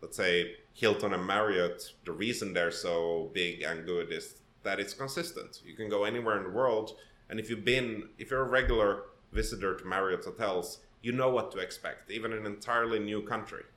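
A man's voice: lowish voice, steady tone, normal speed, fairly bright tone, echoey room. A man raised in Finland, a podcast guest talking through his story thoughtfully, with restarts and fillers. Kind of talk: conversational interview.

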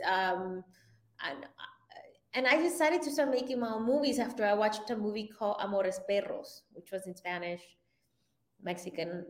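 A woman who grew up in Venezuela, a filmmaker guest in a casual interview, talking in an animated way and laughing often. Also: mid-range voice. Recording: roomy echoey sound.

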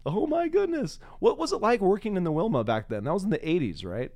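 A man's voice: sits high, very animated, fast talker, darkish tone, studio quality. A man hosting a casual, chatty interview, often echoing back what the other person says. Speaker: interviewer, podcast host.

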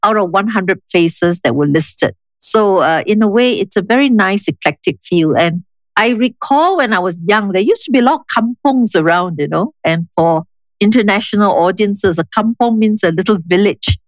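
An older woman with a Singaporean accent, speaking in a measured, reflective, explanatory way as an interview guest. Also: mid-range voice, animated, no echo, poor audio. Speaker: interview guest, urban planning official. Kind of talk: conversational interview, reminiscing.

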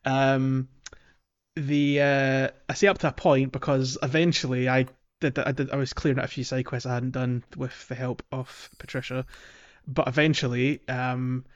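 Male podcast host, mid-pitched, hesitant conversational explainer with British colloquialisms, slightly dull recording. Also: level tone, moderate pace. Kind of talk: casual video game walkthrough chat.

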